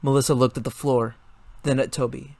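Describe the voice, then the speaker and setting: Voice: medium pitch, flat delivery, a little fast, gravelly, a little mellow, no room sound. A narrator giving a scripted dramatic reading of a story.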